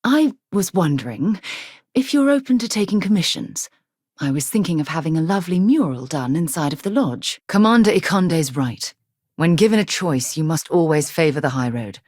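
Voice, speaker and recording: A woman's voice: low-pitched, lively intonation, moderate pace, a little mellow, no room sound, clean studio sound. A woman voicing an articulate, earnest and wry explorer who leads with principle in a space video game. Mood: earnest, firm. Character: principled, adventurous woman explorer, leader in a space-faring society. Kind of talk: video game character dialogue.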